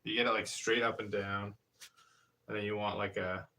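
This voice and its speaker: A fairly low-pitched man in his thirties talks loosely through what his hands are doing at a pottery wheel, trailing off and restarting as he goes. He sits back from the microphone, so his voice comes across slightly distant. The tone is easygoing live-stream chatter. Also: little pitch movement, moderate pace, pushed and bright. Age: 30s. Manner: rambling, hesitant, explains hands-on steps while working. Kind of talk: casual live-stream chat while demonstrating. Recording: voice picked up from a distance.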